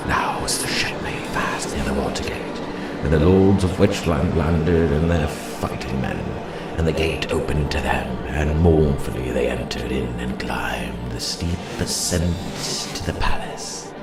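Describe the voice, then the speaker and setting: A man reading an old fantasy tale as a dramatic audiobook, slowly and solemnly, in archaic literary prose. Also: very deep voice. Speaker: audiobook reader. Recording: roomy echo, loud moody soundscape bed. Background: loud music and atmospheric soundscape.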